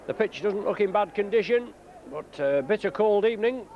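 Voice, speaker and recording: A man giving live rugby league commentary, slightly croaky from a cold, calling play by play with frequent "uh" fillers. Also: sits high, animated, normal speed. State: croaky from flu. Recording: dull lo-fi broadcast tape with noise.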